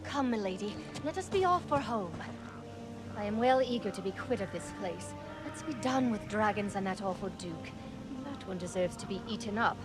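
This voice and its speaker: A woman's voice: mid-range voice, expressive, normal speed, over music, close to an everyday voice. A woman playing a devoted, earnest lady's maid in a fantasy game, speaking in a formal, archaic way. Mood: bitter relief.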